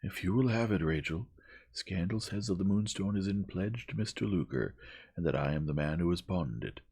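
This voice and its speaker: A man plays a mannerly Victorian gentleman in a storybook reading. The voice is husky and rises and falls expressively, with an earnest, gently protesting tone. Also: deep voice, animated, normal speed, pitched above the performer's own voice, full character voice. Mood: reluctant, pained. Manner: pleading, composed, gentle. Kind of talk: dramatized audiobook reading.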